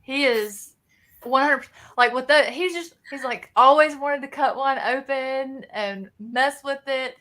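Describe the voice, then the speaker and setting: A woman's voice: high voice, measured pace. A woman co-hosting a casual book chat, telling scenes animatedly and acting out characters' reactions. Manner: animated storytelling, acts out reactions. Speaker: co-host of a book readalong discussion.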